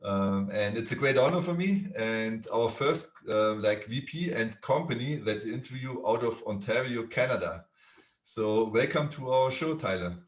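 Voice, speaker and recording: A man with a German accent hosts a podcast interview. He speaks haltingly, with fillers and restarts. His voice is mid-pitched, and the room gives it a noticeable echo. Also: expressive. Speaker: podcast host. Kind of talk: interview hosting. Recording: echoey room.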